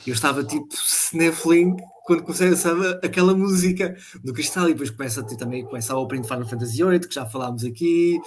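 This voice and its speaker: A man with a European Portuguese accent chatting about video games, enthusiastic and joking, speaking in quick bursts. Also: a little high, slight background noise. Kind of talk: casual gaming chat.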